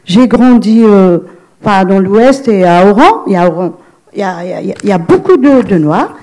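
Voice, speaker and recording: A woman from Algeria asking a question from the audience, halting, with many restarts and fillers. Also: mid-range voice, expressive, warm tone, rough recording. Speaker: audience member.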